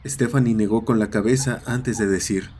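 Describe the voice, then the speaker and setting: A man's voice: fairly low pitch, steady tone, normal speed, mellow, a little hiss or hum. A man narrating a scripted horror story. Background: ambient sound effects.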